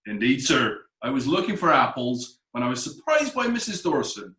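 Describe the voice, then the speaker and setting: A nervous, deferential defendant, played by a man, answering questions with hesitant politeness. His voice is bright and lively, with a roomy echo. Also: medium pitch, moderate pace. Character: nervous evasive male defendant, cider maker. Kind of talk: amateur radio drama. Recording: echoey room.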